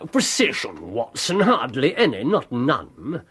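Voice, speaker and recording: An actor playing a brilliant, restless, haughty English detective in a radio drama, precise and pedantic in a theatrical way. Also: male voice, mid-range voice, wildly swooping pitch, measured pace, a bit bright. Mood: coolly deductive.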